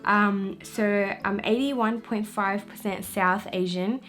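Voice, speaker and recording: A young woman from Pakistan talks straight to her viewers in a loose, chatty vlog, leaning on "um" and "like" as she goes. Her voice has a middling pitch. The room around her is echoey, with faint music underneath. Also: fairly even intonation, takes it slowly, a bit bright. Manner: chatty, with many fillers like "um" and "you know". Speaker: vlogger. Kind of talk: casual vlog to camera.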